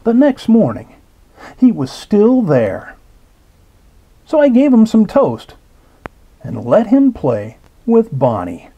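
A man reading a children's picture book aloud, slow and expressive, pausing to point out details in the pictures. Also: high-pitched. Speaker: storybook reader. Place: indoors at a table.

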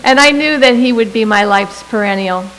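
A middle-aged woman delivering a prepared commencement speech at a podium microphone, with measured delivery and deliberate emphasis. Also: fairly high pitch, a bit bright, rough recording. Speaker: commencement speaker.

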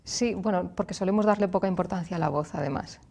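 A woman in her thirties with a Galician Spanish accent, a speech therapist explaining clearly and patiently as a guest in a TV studio. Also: lowish voice, fast talker, darkish tone. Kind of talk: explanatory interview answers.

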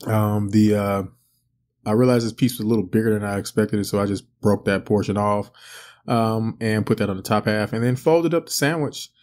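A man's voice: lowish voice, a little fast. Easygoing man narrating a home cooking video. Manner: relaxed, rambling with many fillers. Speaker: cooking channel host. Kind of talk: casual cooking voice-over. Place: home kitchen.

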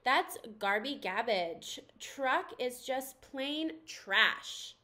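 Bossy female storybook doll, played by a woman: sassy drawl, bright tone. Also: high-pitched, lively intonation, extremely slow, exaggerated voice.

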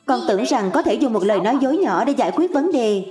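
A woman playing a caring young mother, patient and gently instructive, in a cartoon dub over music. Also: a little high, lively intonation, fast, close to an everyday voice. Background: background music.

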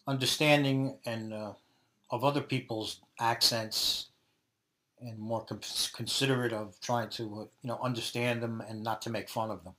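A middle-aged man from Brooklyn with a Brooklyn New York accent, answering interview questions thoughtfully, pausing and restarting mid-sentence. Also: mid-range voice, steady tone, sharp tone.

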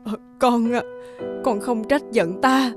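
An elderly peasant mother in a cartoon folk tale, lost in grief for her son. Her high voice is plaintive and halting, and music plays behind it. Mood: sorrowful, resigned. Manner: plaintive, halting, repeating herself. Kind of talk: animated folk tale voice acting.

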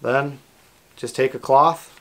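A man's voice: mid-range voice, fairly even intonation, slow, a bit bright. North American man giving a plain-spoken demonstration. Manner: plain-spoken, informal, explanatory. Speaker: host of a kayak fishing channel. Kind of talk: product demonstration to camera. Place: small indoor workshop room.

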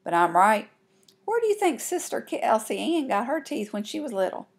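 A woman's voice: fairly high pitch, very animated, moderate pace. A woman voicing a cocky, matter-of-fact country boy in a children's storybook, with an assertive, folksy delivery. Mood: smug.

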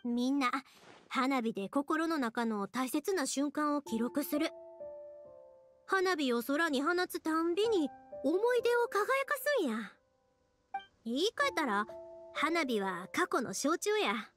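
A woman's voice: high-pitched, big pitch swings, slow pace, fairly bright tone, full character voice. A woman playing a cheerful, earnest young firework-maker in an anime game, speaking expressively with a Kansai Japanese accent. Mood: earnest, reflective. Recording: game music behind the voice.

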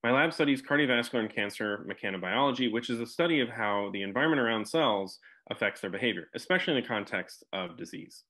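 A man raised in Alabama, a professor, giving a measured introduction of his background and lab. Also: medium pitch, lively intonation, bright, forceful tone, low-quality recording. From Alabama, USA. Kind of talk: scripted self-introduction.

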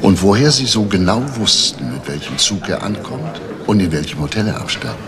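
An actor playing a firm, paternal police detective, deliberate and insistent in a crime radio play. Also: male voice, low-pitched, very animated, crowd noise. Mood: probing, firm. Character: calm, probing male police detective, paternal but firm. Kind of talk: German radio crime drama acting. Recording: noisy retro radio drama recording.

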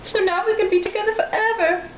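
Squeaky, clingy prank-call lover. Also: female voice, fairly even intonation. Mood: delighted. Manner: drawn-out, mock-earnest, insistent. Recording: roomy, noisy home camera recording.

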